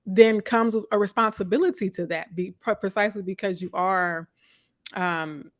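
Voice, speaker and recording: A woman hosting a live conversation, speaking in a thoughtful, deliberate and reflective way. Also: mid-range voice. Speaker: host interviewing a guest. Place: live online video call.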